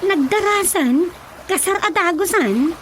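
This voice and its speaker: A woman's voice: very high-pitched, expressive, unhurried, loud music behind the voice. A woman playing a startled, coy young bride-to-be in an Ilocano radio drama comedy, theatrical and questioning. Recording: noisy radio drama track.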